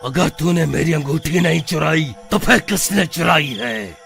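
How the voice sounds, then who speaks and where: A man voicing a vengeful, threatening skeleton ghost in a horror cartoon, with a gravelly, demanding delivery. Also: on the high side, expressive, normal speed, fairly bright tone. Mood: accusing, demanding. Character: menacing vengeful male skeleton ghost from a well. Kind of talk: animated horror story acting. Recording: music behind the voice.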